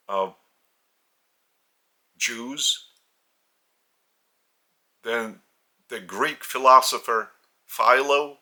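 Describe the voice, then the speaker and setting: A man with a Lithuanian accent, a spiritual teacher speaking in short halting phrases with restarts. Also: medium pitch, bright, forceful tone.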